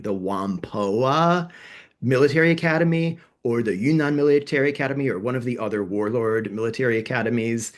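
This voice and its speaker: A man co-hosting a history podcast, explaining events in a casual, thinking-aloud way with fillers and self-corrections. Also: mid-range voice. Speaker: podcast co-host.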